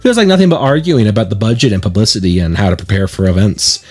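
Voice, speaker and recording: A man's voice: lowish voice, lively intonation, quick pace, darkish tone, husky. A man voicing a confident, earnest schoolgirl club president from a visual novel, speaking encouragingly. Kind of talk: reading visual novel dialogue.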